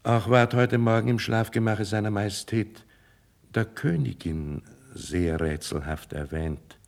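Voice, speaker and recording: An actor playing a grave, loyal older count, deliberate and deferential, in a formal 1950s radio drama style. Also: male voice, fairly low pitch, creaky. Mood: confiding, concerned. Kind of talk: classical German radio drama acting, 1950s.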